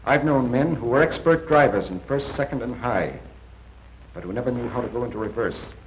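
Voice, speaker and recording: A man playing a seasoned, assured intelligence briefing officer in a 1940s training film, giving measured, plain-spoken advice. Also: medium pitch, a little hiss or hum, close to an everyday voice. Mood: assured, advising. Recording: muffled 1940s film soundtrack.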